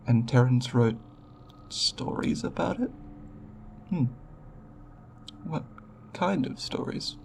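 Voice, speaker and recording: A timid alien scientist, played by a man, with heavy vocal fry and slow, halting delivery. He is curious, polite and formal, picking his way through scientific wording in an ASMR roleplay. His pitch moves around expressively. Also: expressive, a little mellow, slight background noise, heavily acted. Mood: intrigued, cautious. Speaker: roleplay voice performer.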